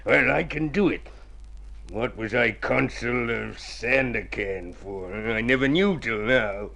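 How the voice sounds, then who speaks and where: A scheming, smooth-talking consul from vintage radio drama. He is theatrical and self-satisfied, coaxing his partner along like a man selling a crooked deal. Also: mid-range voice, takes it slowly, pushed and bright, full character voice. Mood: coaxing, eager. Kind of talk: golden age radio drama acting.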